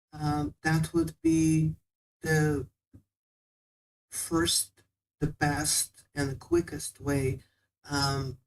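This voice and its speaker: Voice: mid-range voice, a little mellow, rough recording. A guest patiently explaining how to learn a language in a casual interview, with small non-native grammar slips.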